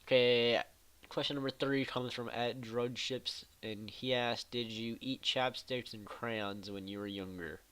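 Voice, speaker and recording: A man's voice: mid-range voice, steady tone, a little slow, a bit bright, clean tone. A man hosting a casual gaming Q&A vlog, nasal from allergies, answering viewer questions with joking, irreverent, offhand replies. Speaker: gaming channel host.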